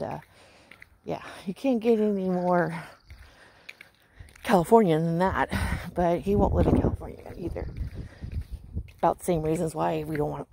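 An American woman in middle age talks in a folksy, chatty way as she walks along outside. Her voice is fairly low and mellow, with a lot of vocal fry. She speaks informally to her viewers into a handheld phone. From Washington State, US. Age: middle-aged. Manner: folksy, chatty while walking. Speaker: vlogger. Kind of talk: casual chat to viewers. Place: outdoors on a rural residential road. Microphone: handheld phone at arm's length. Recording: noisy outdoor phone recording with clicks.

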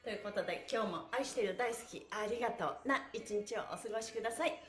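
A woman's voice: mid-range voice, fast talker. A middle-aged woman leading a livestreamed stretch class in a cheerful, giggly, encouraging way, with plenty of energy. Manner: energetic, chanting counts and slogans. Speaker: stretch class host and pantomime performer. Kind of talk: livestreamed exercise instruction with chat to viewers. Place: small bare-walled indoor room. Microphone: phone or camera a short distance away. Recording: echoey room, distant camera mic.

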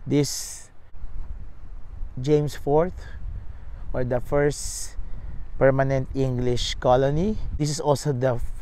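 A man's voice: medium pitch, fairly even intonation, slow pace, long pauses, dark tone. A man in his thirties narrating a walking tour outdoors on a lapel mic, reading signs aloud in a plain explanatory way. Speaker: travel vlogger. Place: outdoors at a riverside historic site. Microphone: lapel mic, selfie camera at arm's length.

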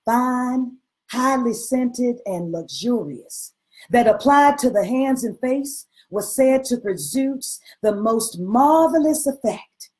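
A woman giving an expressive, theatrical reading of a period novel, pausing deliberately between phrases. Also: fairly high pitch. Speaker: reader at a literary event. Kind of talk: expressive dramatic reading of a 19th-century novel. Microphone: video call.

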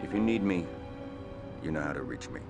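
An actor playing a grim, probing secret agent in a video game cutscene. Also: male voice, extremely low pitch, animated, brisk, over loud music. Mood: cool, resolute.

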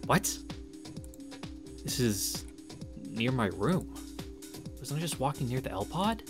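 A man's voice: mid-range voice, very animated, takes it slowly. A young man reading a visual novel aloud and adding casual, wry asides. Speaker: let's-play narrator. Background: game music.

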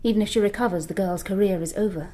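An actress playing a frank, proud, grieving Victorian stage actress in a radio drama, formal and theatrical. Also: female voice, medium pitch, quick pace, warm tone, close to an everyday voice. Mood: bitter.

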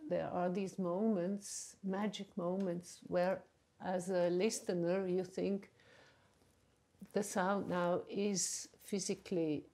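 A middle-aged woman interviews in English, being her language. Her voice is mellow and her delivery very slow, with hesitant searching for words. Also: fairly low pitch, little pitch movement. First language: German. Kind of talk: interview conversation. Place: indoor room at a large wooden table under glazed roof.

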